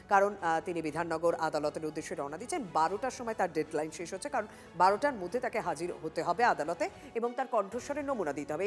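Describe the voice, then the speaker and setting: A woman with a West Bengal accent presenting live news as an anchor, with emphatic, repetitive handovers. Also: medium pitch.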